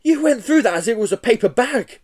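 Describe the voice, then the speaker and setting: A man's voice: very high-pitched, sing-song, moderate pace, darkish tone, voice pushed up, heavily acted. A man voicing a plucky young boy who snaps urgent orders. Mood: urgent bossy. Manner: urgent, snappy, bossy.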